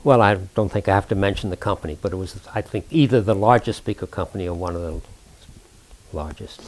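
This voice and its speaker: An older man recounting technical work for an oral history, precise and formal, speaking deliberately with halting pauses mid-sentence. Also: sits low, low-quality recording. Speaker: inventor recounting his own work.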